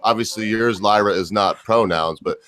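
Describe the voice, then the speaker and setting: A man's voice: lowish voice, moderate pace, fairly bright tone. A man who hosts his own show, talking casually and correcting himself as he rambles. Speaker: show host. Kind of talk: casual group chat.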